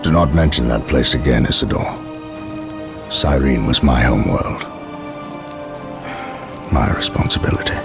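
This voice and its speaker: An actor playing a grave, authoritative space marine commander in a video game, speaking in a solemn, measured way. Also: male voice, a bass voice, gravelly, exaggerated voice. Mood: stern, wounded. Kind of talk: video game cutscene and mission voice acting. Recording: dramatic music behind.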